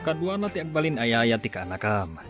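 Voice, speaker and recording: A man's voice: mid-range voice, very animated, moderate pace, fairly bright tone, soft background music. A man playing a friend who gives advice in a radio drama, speaking in a matter-of-fact way. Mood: advising. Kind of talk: Ilocano radio drama acting.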